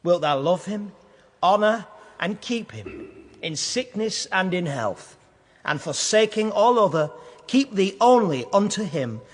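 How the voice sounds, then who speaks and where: A man's voice: high voice, lively intonation, measured pace. An actor playing a solemn clergyman reading wedding vows in a radio drama. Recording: long hall-like reverb.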